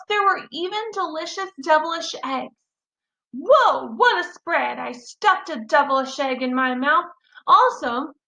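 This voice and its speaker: A woman reads a children's story in a high, bright voice. She narrates with childlike, expressive enthusiasm, and the room adds a noticeable echo. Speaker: teacher reading aloud. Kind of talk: animated children's story read-aloud. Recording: roomy echo.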